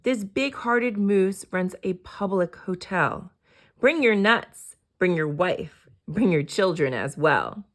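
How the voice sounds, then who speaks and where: A woman's voice: lowish voice, lively intonation, slow pace. A woman voicing a brash, inviting woodpecker in a children's storybook. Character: brash woodpecker, generous with another's home.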